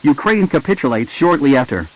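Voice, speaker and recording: A man with a somewhat high voice delivers scripted emergency alert announcements. His delivery is formal and impersonal, like an official broadcast notice. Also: sing-song, fairly quick, rough recording.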